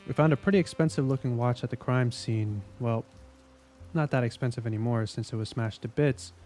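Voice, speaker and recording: Audio drama: veteran male detective, played by a man, warm mellow tone, dry wit, casual and world-weary, light music underneath. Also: mid-range voice, a little fast, natural voice. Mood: musing, wry. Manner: wry, needling, casual. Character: jaded, sardonic veteran male police investigator.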